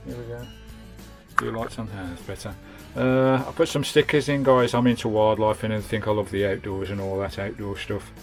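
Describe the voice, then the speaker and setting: A man's voice: on the low side, steady tone, brisk, few pauses. British man chatting, music behind. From United Kingdom. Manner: chatty, matey, peppered with British slang. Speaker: vanlife vlogger. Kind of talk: casual hands-on video tour and fitting commentary. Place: inside a small campervan.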